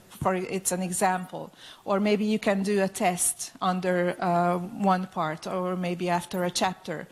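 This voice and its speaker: Hungarian accented lady doing a conference talk, lots of ums and repeats, mid pitch. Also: darkish tone. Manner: halting, frequent hesitations and repeated words. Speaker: educational publisher's account manager, presenting. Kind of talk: conference presentation.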